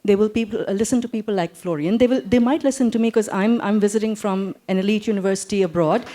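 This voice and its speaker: A woman's voice: medium pitch, quick pace, mellow. A woman, an academic making an impassioned, emphatic case on a panel and repeating phrases for weight. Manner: emphatic, repeats phrases, mixes English and Urdu.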